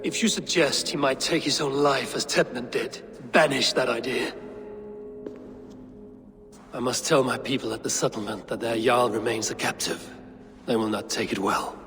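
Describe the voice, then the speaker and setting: An actor playing a loyal, resolute Viking clan leader in a video game, speaking in an earnest, commanding manner. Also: male voice, mid-range voice, fairly even intonation, moderate pace, over music, lightly acted. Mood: grave, determined. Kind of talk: dramatic video game acting. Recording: long reverb, very loud background noise.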